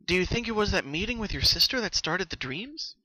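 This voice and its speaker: A man's voice: high-pitched, expressive, brisk, sharp tone, no room sound, pitched above the performer's own voice, full character voice, rough recording. A man voicing a studious, caring young female unicorn who speaks in an earnest, analytical and reassuring manner in a story reading. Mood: inquisitive.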